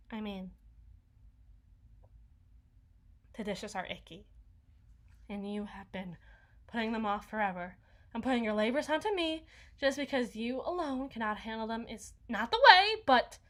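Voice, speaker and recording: A woman's voice: a little high, a bit bright. A woman playing a sulky, sarcastic young vampire shut-in in an ASMR roleplay, whining and mumbling through a one-sided conversation. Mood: reluctant, miserable. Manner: whiny, sarcastic, halting, dropping into mumbles and sighs. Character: sulky, sarcastic young female vampire, reclusive lazy gamer.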